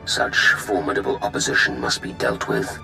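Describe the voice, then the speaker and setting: Very deep, menacing Sith Lord. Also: male voice, very animated, creaky, sharp tone, soft background music, full character voice, rough recording. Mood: grim, resolute. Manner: formal, cold, deliberate. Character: menacing male Sith Lord reporting coldly to his superior. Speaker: villain. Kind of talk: video game voice acting.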